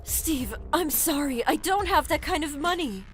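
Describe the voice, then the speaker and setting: Woman acting gentle frail mother, high pitch, soft apologetic manner, story dialogue, light music underneath. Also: animated, moderate pace. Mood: concerned. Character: gentle, sickly, worried mother of adult sons.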